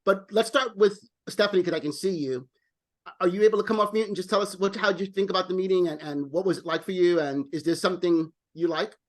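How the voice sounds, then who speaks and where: A man's voice: sits high, fast. A man hosting an online club meeting as its president, friendly and often repeating words as he talks. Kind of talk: casual online meeting chat. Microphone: video call.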